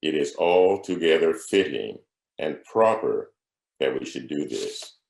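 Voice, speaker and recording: An extremely deep male voice with a gravelly edge recites a historic speech solemnly. He phrases deliberately, like an orator, leaving long pauses. The room around him echoes. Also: big pitch swings, darkish tone.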